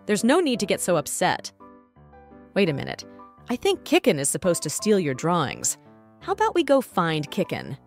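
A woman's voice: lowish voice, huge pitch swings, moderate pace, faint music behind. A woman voicing a sweet, soothing female bear friend in an amateur cartoon comic dub.

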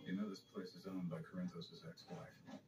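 A man's voice: sits low, little pitch movement, moderate pace, distant mic, very noisy, lightly acted. An actor playing a wary, irritated man in a soap opera. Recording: TV played into echoey mic, loud music.

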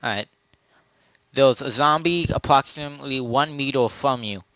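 A man improvising casual narration as game master of a role-play, often halting and correcting himself. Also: medium pitch, fairly bright tone, dry recording, low-quality recording.

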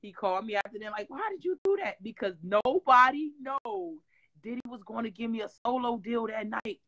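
A woman, telling her story with animated swings as a guest on a video call. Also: on the high side, brisk, poor audio. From Detroit. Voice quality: rough voice. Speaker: interview guest, former reality-show singer. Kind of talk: casual chat. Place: home room with green wall backdrop, video call.

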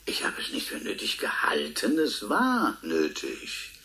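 An actor playing a proud, defensive professor being interviewed in a radio drama, pedantic and pointed, with deliberate emphasis. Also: male voice, fairly low pitch, huge pitch swings, pushed and bright, full character voice. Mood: defensive, insistent. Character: proud, defensive male professor, Nobel-winning scientist.

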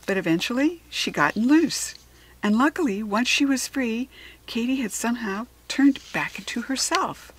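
American lady reading a kids chapter book out loud, expressive, teacher-like. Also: on the high side, normal speed, husky, rough recording. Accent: standard American. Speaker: storyteller reading aloud. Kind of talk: children's chapter book read-aloud.

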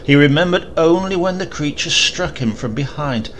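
A man giving a dramatic reading of a horror story, often dropping to a hushed whisper. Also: on the high side, normal speed. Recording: loud steady hiss behind the voice.

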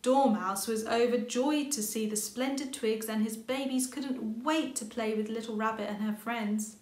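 Roomy, high-voiced woman reading a storybook. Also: moderate pace. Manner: expressive reading with character lines. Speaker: author reading aloud. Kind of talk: storybook narration for children. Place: indoor room with bookshelves. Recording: roomy home recording.

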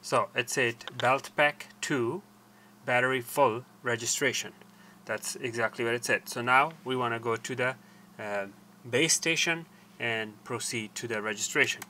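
An older man explaining a technical procedure step by step in a halting, deliberate way. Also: medium pitch, bright, forceful tone, a little hiss or hum. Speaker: tutorial presenter. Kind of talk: hands-on technical tutorial, explanatory. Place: small cluttered indoor workshop.

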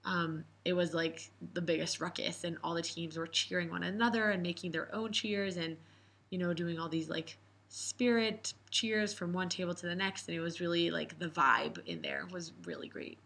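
A woman in her twenties from Israel, a camp coach, calmly telling a story in an interview. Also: lowish voice, moderate pace, vocal fry. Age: 20s. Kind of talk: reflective interview answers. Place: indoor room with posters.

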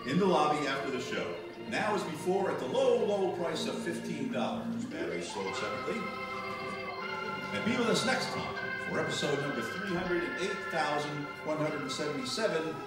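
A droll, formal announcer for an old-time radio serial, played by a man. The deadpan lines move widely in pitch. The voice is heard from far off in an echoey room with music behind. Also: normal speed. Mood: deadpan announcing. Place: small library room, live holiday performance. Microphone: stage microphone, distant camera recording.

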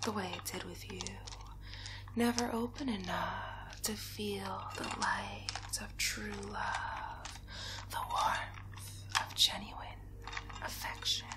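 Vengeful ASMR witch acted by a young woman: whispered, unhurried, intense incantations, soothing yet spiteful. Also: mid-range voice, steady tone, natural voice. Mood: menacing, hushed. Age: young adult. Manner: slow, hushed, incantatory repetition. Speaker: ASMR roleplay performer. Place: bedroom, indoors. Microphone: close mic, seated near camera.

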